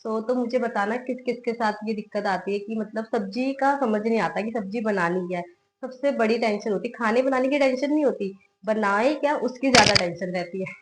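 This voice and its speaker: A young woman, a mother, chatting to the camera at home in a casual family vlog and coaxing her toddler, her voice hoarse from a cold. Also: on the high side, fairly quick, a little hiss or hum, poor audio. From Himalayan hill region of India.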